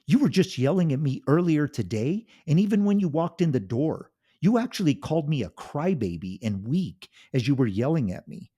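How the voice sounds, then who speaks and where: A wounded husband at the end of his rope yells and pleads in a raised, indignant voice, as a man acts him out. The voice is husky and mellow beneath the strain. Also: on the high side, big pitch swings, moderate pace, few pauses, pitched above the performer's own voice. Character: desperate, hurt husband finally fighting back.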